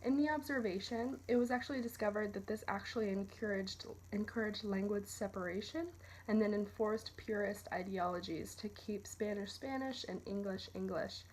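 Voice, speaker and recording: A woman's voice: medium pitch, normal speed, a little mellow, a little hiss or hum, low-quality recording. A woman reading a prepared academic argument as a scripted student narration. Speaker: student presenter.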